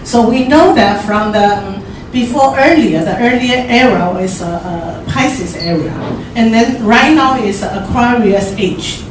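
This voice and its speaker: A middle-aged woman with a Taiwanese accent giving an informal forum talk, haltingly, searching for words. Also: on the high side. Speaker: forum speaker. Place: large echoey indoor room at a forum. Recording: very echoey room, distant mic, clear noise.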